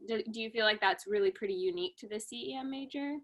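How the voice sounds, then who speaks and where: A woman's voice: fairly high pitch, fast. A woman hosting an interview over a video call, asking friendly, upbeat questions.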